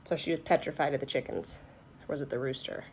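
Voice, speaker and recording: A woman reading a children's story aloud expressively, pausing to chat and ask her listeners questions. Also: extremely low pitch, slight background noise. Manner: animated, asks listeners questions. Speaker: read-aloud teacher.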